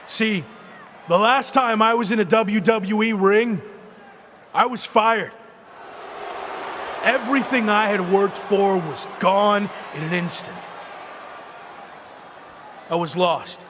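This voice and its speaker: Casting: cocky game wrestler, high projected voice, slow emphatic promo delivery over arena crowd noise. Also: male voice. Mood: reflective, defiant. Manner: emphatic, deliberate arena speechmaking. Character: defiant returning pro wrestler, cocky and determined. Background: loud arena crowd ambience.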